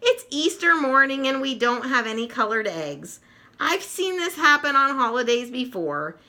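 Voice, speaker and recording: A woman's voice: sits high, very animated, normal speed, pushed and bright, voice pushed up, full character voice. A woman voicing a bossy, exasperated young tomboy girl from a storybook, with emphatic, dramatic delivery. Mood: worried, sorry.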